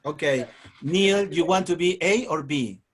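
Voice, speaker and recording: A man's voice: on the high side, measured pace. A man with a Peruvian Spanish accent hosting an online teachers' meeting over a video call, cheerful and joking with guests. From Peru.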